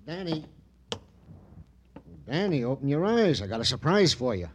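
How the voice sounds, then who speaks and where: An actor playing a chummy, needling police colleague in an old radio crime drama, coaxing and teasing. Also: male voice, on the high side, sing-song, normal speed, background noise.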